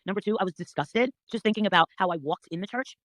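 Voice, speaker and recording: A middle-aged woman in a casual, gossipy chat, telling her story in a blunt, confrontational, animated way and swearing freely. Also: mid-range voice, fast talker, no echo.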